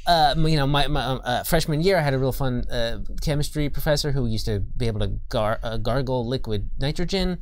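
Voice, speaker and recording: American man telling stories, halting and self-deprecating. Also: medium pitch, slight background noise. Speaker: guest game designer. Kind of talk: casual anecdotal chat. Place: studio.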